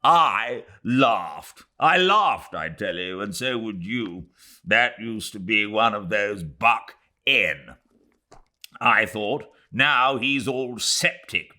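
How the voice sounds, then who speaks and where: A man with a British accent playing a loud, crude, blustering older English army major. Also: fairly low pitch, lively intonation, slow, pitched above the performer's own voice, exaggerated voice. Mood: brutal, gloating.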